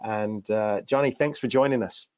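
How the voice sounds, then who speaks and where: A man hosting a video-call interview, introducing his guest in a friendly, halting way with lots of fillers. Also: lowish voice, a little mellow. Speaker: podcast host, coaching director. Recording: dry, dull call audio.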